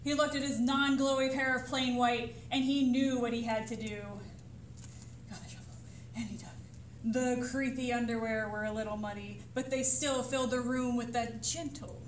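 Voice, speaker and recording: A woman reading a picture book aloud to children as a librarian, with dramatic, suspenseful delivery. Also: on the high side. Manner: expressive, suspenseful pauses and sudden exclamations. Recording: roomy echo, distant mic, faint noise.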